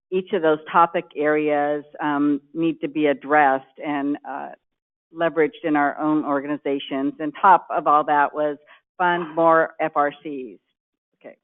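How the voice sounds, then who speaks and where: A man reporting his table's ideas to a conference room, pausing often with ums. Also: fairly high pitch, steady tone. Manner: halting, with frequent ums. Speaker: table spokesperson at a workshop. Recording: tinny phone-like sound.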